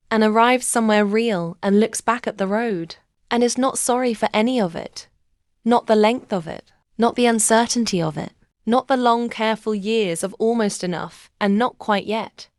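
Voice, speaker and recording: A woman reading a first-person bedtime story aloud in a slow, gentle, reflective way. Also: mid-range voice, no room sound, clean studio sound. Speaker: narrator. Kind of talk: scripted first-person sleep story narration.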